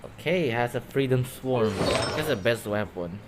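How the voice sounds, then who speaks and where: A man's voice: mid-range voice, animated, normal speed. A man hosting a gameplay video with casual commentary in short, clipped remarks. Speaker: gaming video host. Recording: loud game music over voice. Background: game music.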